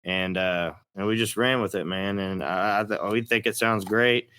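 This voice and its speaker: A man's voice: lowish voice, fairly even intonation, normal speed, pushed and bright, clear, resonant tone, dry recording. A man with a Texas accent chatting casually in an interview as a country rock singer, folksy, plain-spoken and a little profane.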